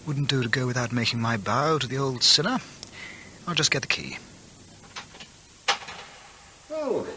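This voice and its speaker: An actor playing a curious, bookish English gentleman antiquarian in a radio drama, musing hesitantly in old-fashioned literary language. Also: a little high, in a large hall, a little hiss or hum. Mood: jaunty, curious.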